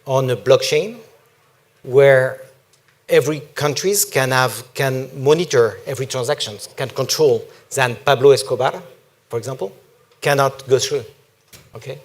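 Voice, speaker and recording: A man from France with a strong French accent, speaking deliberately as a panelist in a forum discussion. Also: mid-range voice. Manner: deliberate, emphatic, speaks slowly.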